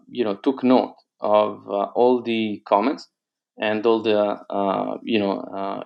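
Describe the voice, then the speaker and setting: Italian man with a gravelly, low voice. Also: darkish tone, highs cut off. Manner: hesitant, many fillers and restarts. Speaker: guest entrepreneur. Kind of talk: casual interview talk.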